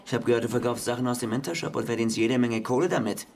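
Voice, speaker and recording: An actor playing a dogged, brash police sergeant in a radio crime drama, with a blunt, pushy, streetwise manner. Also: male voice, mid-range voice, fast talker, vocal fry, darkish tone, a little hiss or hum, lightly acted. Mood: pressing, threatening. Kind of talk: naturalistic radio play acting.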